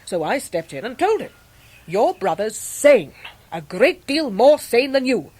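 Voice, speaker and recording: An actress playing a brusque, eccentric older English great-aunt in a radio drama, blunt, emphatic and fiercely protective. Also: female voice, a little high, very animated, measured pace, a little mellow, husky, heavily acted. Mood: indignant, fond. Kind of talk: 1990s British radio drama acting.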